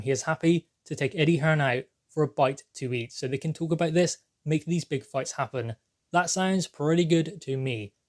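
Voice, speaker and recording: A man's voice: a little high, darkish tone. A man presenting boxing news commentary, explaining things in an emphatic, repetitive way.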